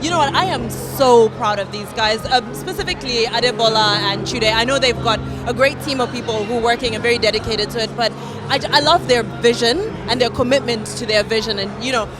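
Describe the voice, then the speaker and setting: A woman's voice: on the high side, fairly quick. A woman from Zimbabwe, a media personality, gushing with praise in a red carpet interview. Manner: warm, gushing. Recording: music and crowd behind.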